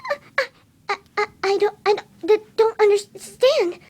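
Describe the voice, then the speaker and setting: A woman voicing a timid, anxious, gentle female pegasus pony in an amateur story reading, meek and stammering. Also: very high-pitched, normal speed. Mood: bewildered, distressed. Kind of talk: amateur dramatic reading of fan fiction.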